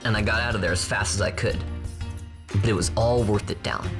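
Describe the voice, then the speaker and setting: A man's voice: fairly low pitch, steady tone, brisk, over music, close to an everyday voice. An actor playing a cocky young street boy in a video game, with a casual, boastful manner. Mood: eager. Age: young adult. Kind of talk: video game drama acting.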